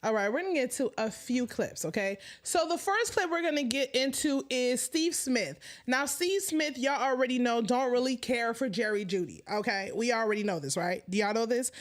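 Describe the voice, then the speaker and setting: High-voiced animated woman host with a stutter. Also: moderate pace, few pauses. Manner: animated, laughs often, stutters. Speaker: live-stream host. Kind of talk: casual live-stream chat.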